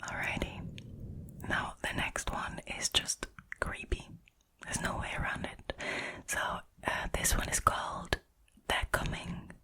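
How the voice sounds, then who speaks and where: A woman speaking in a breathy whisper, telling short stories and chatting casually about them in a relaxed ASMR style. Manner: informal, chatty, amused. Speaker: host reading and reacting to short stories.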